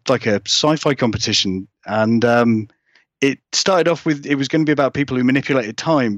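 A man's voice: mid-range voice, dry recording. A man chatting informally as an interview guest, in a rambling, self-deprecating way. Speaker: horror writer, interview guest. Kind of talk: casual interview chat.

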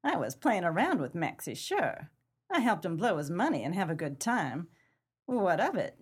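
A woman's voice: on the low side, very animated, normal speed, breathy edge. A woman playing a tough, sly 1930s gangster's moll in a pulp crime radio play, brazen and slangy.

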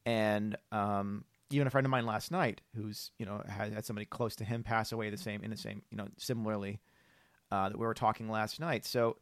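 A man's voice: fairly low pitch, steady tone, fairly quick, no echo. Man podcast host, close dry sound. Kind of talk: casual podcast conversation.

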